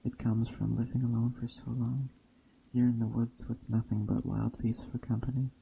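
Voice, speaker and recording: A man voicing a wily, seductive witch enchantress in a storybook tale, with soft, coaxing speech. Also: medium pitch, little pitch movement, normal speed, creaky, slight background noise, close to an everyday voice, poor audio. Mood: pleading, flattering.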